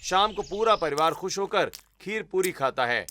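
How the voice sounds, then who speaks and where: Male storyteller, scripted narration, high voice, bright forceful tone. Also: lively intonation, normal speed.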